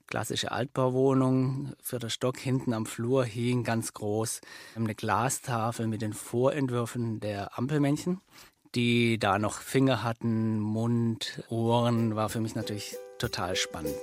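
Swabian man telling lively anecdotes. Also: medium pitch, measured pace. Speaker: company founder. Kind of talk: interview storytelling.

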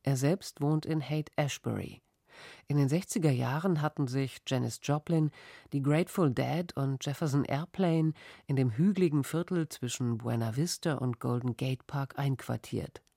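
A narrator reading a documentary script in an even, measured way. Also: medium pitch, creaky, dry recording, clean studio sound.

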